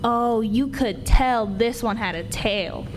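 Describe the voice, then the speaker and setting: Woman as a high-voiced cheeky little girl. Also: slow pace, crowd noise. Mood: teasing. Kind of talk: old-time radio comedy acting. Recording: noisy live stage recording.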